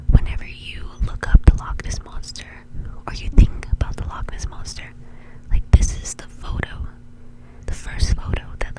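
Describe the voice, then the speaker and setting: A woman doing whispered ASMR storytelling in an informal, conversational way, close to the microphone. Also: rough recording. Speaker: ASMR video creator and host.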